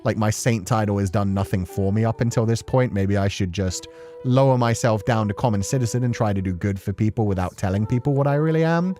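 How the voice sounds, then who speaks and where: A man's voice: on the low side, a little fast, dark tone, faint music behind, natural voice. A man voicing a reluctant young woman saint from a story game, earnest and self-doubting, longing to be simply human. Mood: reluctant, worried.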